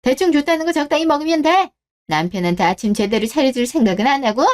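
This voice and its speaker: A woman's voice: sits high, expressive, fast talker. A woman playing a scornful, possessive middle-aged mother-in-law in a scripted radio drama, scolding and accusing. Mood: furious, scornful.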